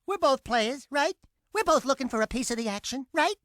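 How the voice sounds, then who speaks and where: A man's voice: extremely high pitch, lively intonation, brisk, bright, forceful tone, no room sound, studio quality, full character voice. A man voicing a cocky, wisecracking little furry animal sidekick in a video game, with brash hustler patter. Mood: cocky, wheedling. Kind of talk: cartoonish video game acting.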